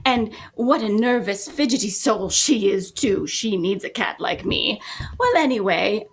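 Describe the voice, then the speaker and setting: A chatty young lady cat, as acted by a woman in a live script reading, prim and easily flustered. She rambles and gushes theatrically, her fairly high, husky voice swooping up and down. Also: a bit bright, full character voice.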